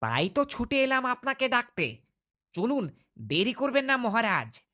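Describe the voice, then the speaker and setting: A woman voicing a clever, quick-witted fox in a cartoon, speaking in a persuasive, theatrical manner. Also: a little high, sing-song, fairly bright tone. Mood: coaxing flattery. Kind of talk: cartoon voice acting.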